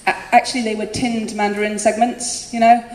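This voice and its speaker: A woman's voice: fairly high pitch, flat delivery, brisk, a little mellow. A woman performing spoken word live, chatty and self-deprecating, often laughing between lines. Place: live concert venue. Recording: echoey hall with faint room noise.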